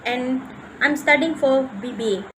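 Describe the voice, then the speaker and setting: A woman in her twenties from Malaysia, introducing herself loudly as a student. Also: sits high, a little slow, a little hiss or hum, rough recording. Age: 20s. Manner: loud, bright self-introduction.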